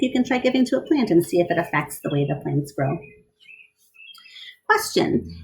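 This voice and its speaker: A woman reading a picture book aloud to children in an expressive style, pausing to question and explain things to her young listeners. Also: lowish voice, low-quality recording. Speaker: read-aloud storyteller.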